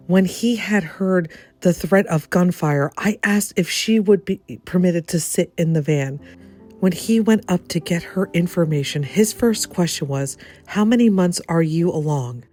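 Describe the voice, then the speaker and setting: A woman giving a theatrical, expressive dramatic reading of a first-person story, acting out the quotes. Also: on the low side, unhurried, faint music behind. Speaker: reader of a written personal story.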